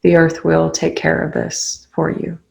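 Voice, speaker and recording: Slow, even-toned guided meditation leader with creaky voice. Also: medium pitch. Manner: soothing, unhurried instruction with pauses.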